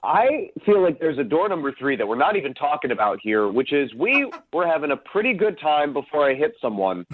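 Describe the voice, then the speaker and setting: A man calling in to a radio dating segment over the telephone, talking casually in a defensive, halting way. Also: fairly high pitch, big pitch swings, breathy edge, dry recording. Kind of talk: casual phone argument. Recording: thin, dry phone line.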